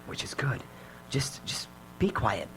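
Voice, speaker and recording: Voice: mid-range voice, big pitch swings, slow, gravelly, whispered, heavily acted, low-quality recording. An actor playing a nervous, cautious teenage boy in a radio drama, hesitant and repeating words. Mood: urgent, hushed. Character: anxious, cautious teenage boy, stammering under pressure. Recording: live radio recording, faint hum.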